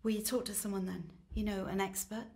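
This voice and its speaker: A woman's voice: mid-range voice, flat delivery, fairly quick, warm tone, natural voice. A woman playing a caring but exasperated partner in a comedy radio play. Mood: patient, concerned. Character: exasperated, caring female partner of an obsessive man.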